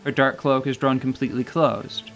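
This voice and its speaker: A man's voice: medium pitch, steady tone, normal speed, a bit bright. A man giving dramatic, scripted narration for a fantasy radio play. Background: music.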